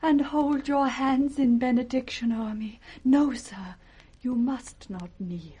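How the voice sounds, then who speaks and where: A devoted young princess in a British radio Shakespeare. Her voice is fairly high, soft and breathy. She speaks very slowly, with hushed tenderness. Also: full character voice. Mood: tearful, pleading. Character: gentle, devoted princess, daughter of an old king.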